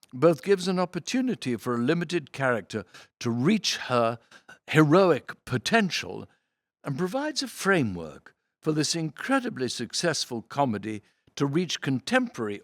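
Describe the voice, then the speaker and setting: A man reads programme notes aloud with a theatrical flourish, his pitch moving freely from phrase to phrase. He phrases things deliberately and leans into key words for emphasis. The recording is close and dry. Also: no room sound. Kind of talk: expressive read-aloud of programme notes with brief asides.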